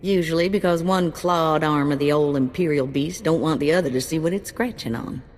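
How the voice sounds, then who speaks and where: Woman acting a low-voiced, folksy bounty broker. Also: brisk, a little mellow, in a large hall, lightly acted. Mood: wry, teasing. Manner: folksy, colloquial, sardonic. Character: wry, folksy female bounty broker, streetwise and teasing. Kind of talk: video game acting.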